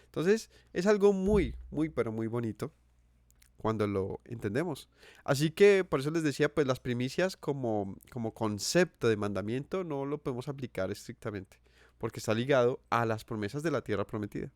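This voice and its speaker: A man's voice: medium pitch, animated, moderate pace, a little mellow, no room sound, studio quality. A Colombian man with a Colombian accent giving an earnest sermon, asking his listeners questions and repeating phrases for emphasis. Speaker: preacher. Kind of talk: sermon teaching.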